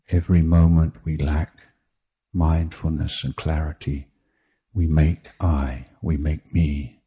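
A man teaching meditation in a guided talk, speaking in measured short phrases with deliberate pauses and a husky voice. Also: very deep voice, warm tone. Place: meditation retreat.

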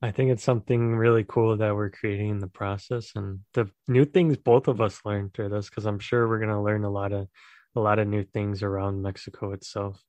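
A man's voice: lowish voice, no room sound. A young American man hosting a casual podcast over a remote call, asking questions in a loose way full of "like" and "I mean.". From United States. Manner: relaxed, filler-heavy questioning. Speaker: podcast host.

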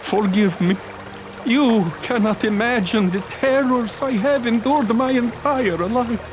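A tortured old priest in a radio drama. He speaks very slowly and gravely, intoning with doom-laden fervor and guilt. Rushing rain and water sound clearly behind his voice. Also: extremely high pitch, background noise. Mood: solemn, weary. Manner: grave, solemn, intoning prayers.

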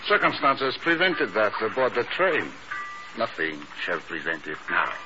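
An actor playing a menacing, formal foreign military major in a 1950s radio drama. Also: male voice, on the low side, big pitch swings, measured pace. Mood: smoothly menacing. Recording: muffled, noisy 1950s radio recording.

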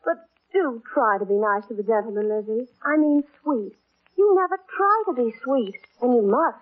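A gentle young lady in a 1940s radio drama. She speaks very politely and earnestly in a high, sweet voice, through the thin muffled sound of an old broadcast. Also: lively intonation, normal speed. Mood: earnest, pleading.

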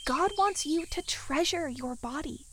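A woman acts as a camp leader in a horror radio play, her voice fairly high. She speaks softly and with control, pointed and falsely gentle, condescending under the sweetness. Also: a little slow, a little hiss or hum. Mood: sweetly condescending. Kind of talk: horror audio drama acting.

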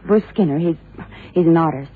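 An actress playing a detective's worried, loyal secretary in a radio drama, earnest and hesitant with a husky voice. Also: female voice, sits low, brisk. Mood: worried, defensive. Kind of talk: 1960s-70s radio drama acting. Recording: tinny phone-like vintage radio drama.

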